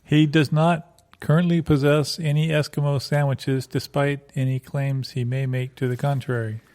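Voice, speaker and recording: A man's voice: medium pitch, little pitch movement, normal speed, darkish tone, close to an everyday voice. A man playing an earnest, stubborn defence lawyer in an improvised comedy sketch, formal and insistent. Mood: formal insistence. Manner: formal, insistent legal phrasing. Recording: light guitar music behind.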